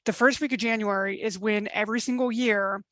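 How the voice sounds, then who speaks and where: A woman's voice: mid-range voice, steady tone, brisk, fairly bright tone, no echo, clean studio sound. A middle-aged woman dating coach giving a live webinar masterclass, talking in a chatty, emphatic way and acting out her listeners' complaints.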